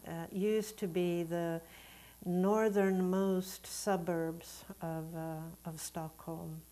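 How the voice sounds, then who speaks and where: A mature woman from Sweden whose first language is Swedish. Her voice sits a little low and she talks very slowly. She reflects on her life as an interview guest, choosing words with care. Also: fairly even intonation, warm tone. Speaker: guest, Unitarian Universalist minister. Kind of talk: reflective conversational storytelling in an interview.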